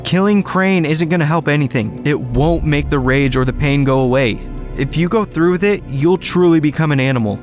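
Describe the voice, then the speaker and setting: A man's voice: fairly high pitch, moderate pace, soft background music, close to an everyday voice. A man playing an earnest, loyal young superhero sidekick in an audio drama, speaking directly. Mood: earnest, pleading.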